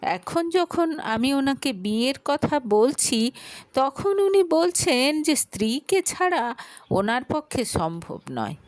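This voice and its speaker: A woman's voice: high-pitched, big pitch swings, takes it slowly, no echo, voice pushed up, exaggerated voice. A woman voicing a frightened, pleading college girl in her early twenties for a dramatic audio story. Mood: tearful, distressed. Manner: pleading, anxious, defensive.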